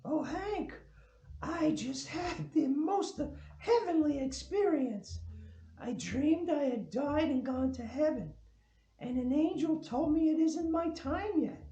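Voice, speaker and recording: An extremely old, giddy, amorous aunt, acted by a woman in a comic script reading. Her voice is very high and she takes her time, gushing theatrically over her words. The room around her is echoey. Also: animated, dark tone, highs cut off. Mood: dreamy delight. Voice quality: high-pitched. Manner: slow, theatrical, gushing delivery. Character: giddy, amorous 108-year-old aunt who survives everything. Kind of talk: comic film script table read.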